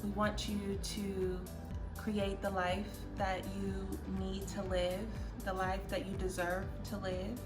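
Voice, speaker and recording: A woman from Pittsburgh in her thirties, co-hosting a casual couple Q&A and telling stories with lively, laughing energy. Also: mid-range voice, takes it slowly. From Pittsburgh, Pennsylvania, USA. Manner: animated storytelling with frequent laughs. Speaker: co-host, life coach. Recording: echoey room with loud music.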